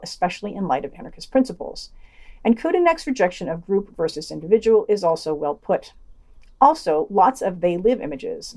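A woman's voice: fairly low pitch, darkish tone. A woman hosting a podcast, reading scripted commentary and quotations with wry, dry asides. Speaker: podcast host.

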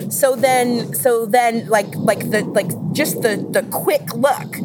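A woman hosting a casual group discussion, thinking aloud in a halting way and repeating phrases as she reasons. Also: on the high side, over loud music. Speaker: podcast host.

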